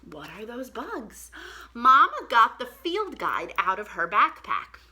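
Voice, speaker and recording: Bright, high-voiced woman hosting children's storytime. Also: takes it slowly. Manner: animated, encouraging, playful with questions to children. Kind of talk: interactive children's musical storytime. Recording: clear background noise.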